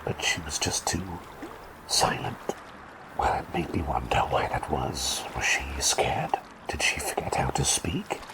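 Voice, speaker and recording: A man's voice: a bass voice, big pitch swings, a little slow, full character voice, rough recording. A man voicing a quirky, eccentric male plague doctor who has turned into a small talking bird, chatting and rambling in a whispered roleplay. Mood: soothing whisper. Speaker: ASMR roleplay performer.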